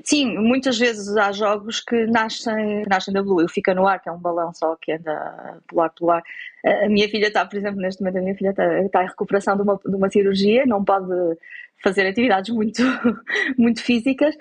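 A woman's voice: medium pitch, steady tone, a little fast, husky. A woman with a European Portuguese accent giving conversational interview answers, explaining and rephrasing as she goes. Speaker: interviewed parent.

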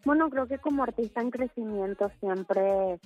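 A woman's voice: a little high, moderate pace, a little mellow, dull audio, soft background music. A woman from Puerto Rico with a Puerto Rican accent, a singer chatting as a guest in a phone interview, talking with lots of fillers. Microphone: phone line.

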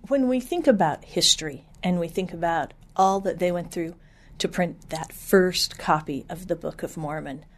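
A woman interviewer asking calm, deliberate questions. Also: fairly low pitch.

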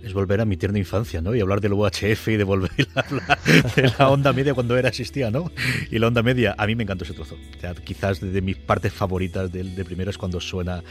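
A man's voice: lowish voice, steady tone, fast talker, soft background music. A man talking as a podcast panelist, informally analytical and emphatically enthusiastic.

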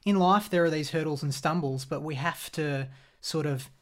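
A man, a podcast guest, talking in a reflective, measured way with a playful edge. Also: a little high. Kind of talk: casual podcast chat.

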